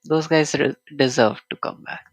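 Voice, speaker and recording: A man's voice: on the high side, moderate pace, dry recording. A man giving casual live commentary as he plays a video game. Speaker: game streamer. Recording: faint game music behind.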